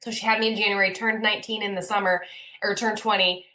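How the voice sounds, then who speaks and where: A woman's voice: mid-range voice, little pitch movement, fast talker, bright, forceful tone, rough recording. A nurse in her thirties as a podcast guest, telling stories playfully and laughing along. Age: 30s. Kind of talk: casual conversational interview.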